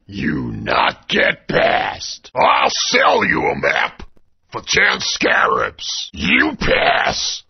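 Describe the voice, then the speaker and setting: An actor playing a greedy male dinosaur guard who talks in broken sentences, with a gravelly voice. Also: extremely low pitch, huge pitch swings, extremely slow, heavily acted. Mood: gruff greedy. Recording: dull lo-fi game audio.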